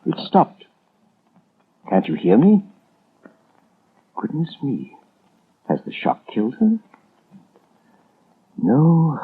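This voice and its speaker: Coolly sinister husband, 1930s radio melodrama; swooping delivery, narrow old broadcast sound. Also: male voice, lowish voice, a little hiss or hum, full character voice. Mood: cold scheming. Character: sinister, mocking husband, coolly fascinated by ghosts. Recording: narrow vintage radio, faint music.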